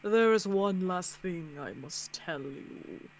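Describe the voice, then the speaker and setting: A woman voicing an ominous game master in a visual novel, speaking slowly, solemnly and formally. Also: high-pitched, lively intonation, full character voice. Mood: grave, menacing. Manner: slow, solemn, deliberate.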